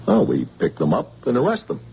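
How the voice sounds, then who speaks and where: An actor playing a police sergeant who questions a witness slowly and patiently, with hesitant fillers, in an old radio drama style. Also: male voice, medium pitch, wildly swooping pitch, creaky, darkish tone, a little hiss or hum. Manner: slow, coaxing, hesitant with fillers. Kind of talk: 1940s radio acting. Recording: dull old radio broadcast.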